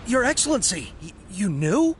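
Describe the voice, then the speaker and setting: A man's voice: sits high, big pitch swings, normal speed, over music. A man voicing an earnest, fervent young soldier in a video game, deferential and full of feeling. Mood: startled. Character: earnest, fervent young male soldier, devoted to his leader. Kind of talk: scripted English video game voice acting.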